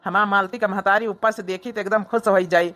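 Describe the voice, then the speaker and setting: A woman's voice: medium pitch, steady tone, fairly quick, a bit bright, lightly acted. A woman with an Awadhi accent voicing a trusting, affectionate middle-aged village father in a comic cartoon. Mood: grateful, trusting. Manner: affectionate, earnest.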